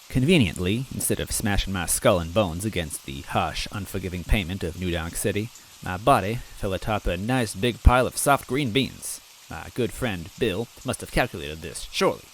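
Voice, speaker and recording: A man reading a first-person story aloud in a soft, wistful, gently comic way. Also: sits low, a little hiss or hum, poor audio.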